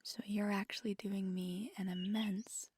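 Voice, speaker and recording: A woman playing a feisty, affectionate magical girlfriend in an ASMR roleplay, talking casually and often dropping to a breathy murmur. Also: mid-range voice, level tone, unhurried, natural voice. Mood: confiding, amused. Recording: clear background noise with birdsong. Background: birdsong and outdoor ambience.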